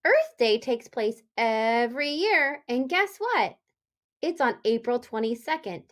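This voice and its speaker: Female elementary teacher, animated read-aloud for young children, slightly high pitch, very slow deliberate delivery, question-led.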